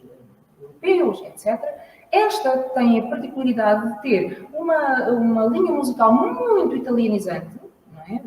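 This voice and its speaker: Woman with a Lisbon accent presenting a song on stage; measured, explanatory delivery in a long-reverb hall. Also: fairly high pitch, a little mellow, a little hiss or hum. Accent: Lisbon Portuguese. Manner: measured, explanatory, pausing to search for words. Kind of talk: spoken introduction at a live event. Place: large hall at a library event. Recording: echoey hall, mic at a distance.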